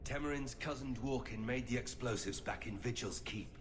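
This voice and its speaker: A man's voice: mid-range voice, normal speed, close to an everyday voice. Man playing a grave fantasy warden, noisy surroundings. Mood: grave, focused. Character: stoic male warrior warden, serious and dutiful.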